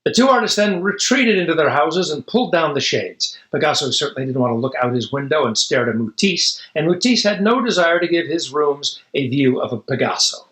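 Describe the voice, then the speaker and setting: A man's voice: a little high, expressive, a little fast, few pauses, a bit bright. Man reading a picture book, echoey room. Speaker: storybook reader. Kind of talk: read-aloud storytelling of a children's picture book with casual asides.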